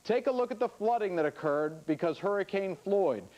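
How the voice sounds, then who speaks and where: A man's voice: high-pitched, expressive, moderate pace, darkish tone, poor audio. A man with an American accent presenting a live TV weather report, talking viewers through satellite images. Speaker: TV weather forecaster.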